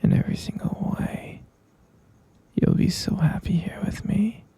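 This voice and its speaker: A man voicing an obsessive, possessive young boyfriend who keeps his partner captive, talking in a soft, coaxing whisper close to the mic. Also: a little slow, no room sound, close to an everyday voice. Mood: sweetly obsessive. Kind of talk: whispered ASMR roleplay.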